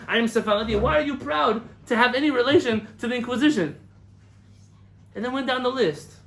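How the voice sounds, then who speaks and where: A young man from the United States giving a lively lecture as a rabbi, telling stories, acting out quotes and laughing at his own jokes. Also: high voice. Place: lecture hall in front of an audience. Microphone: camera recording of a live talk.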